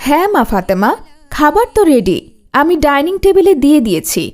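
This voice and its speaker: A woman plays a caring mother in a children's cartoon. Her voice is high and affectionate, moving up and down in a very animated way. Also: brisk. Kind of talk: scripted children's cartoon dubbing.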